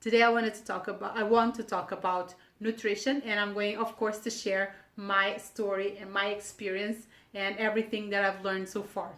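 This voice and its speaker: A woman from Portugal with a Portuguese accent gives an informal explanatory wellness talk to camera, haltingly, repeating words for emphasis. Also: medium pitch, little pitch movement, fairly bright tone. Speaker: wellness vlogger. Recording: echoey room.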